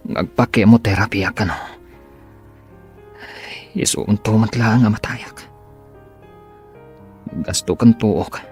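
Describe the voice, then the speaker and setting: A man's voice: lowish voice, darkish tone. A man acting in a radio drama as a bitter, short-tempered sick boss who grumbles in despair.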